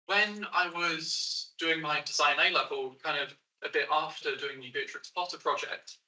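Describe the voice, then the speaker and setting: An eighteen-year-old man, loud and chatty, telling his story with rambling asides. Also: fairly high pitch. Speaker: young maker and guest. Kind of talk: casual spoken story. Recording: roomy sound from a distant mic.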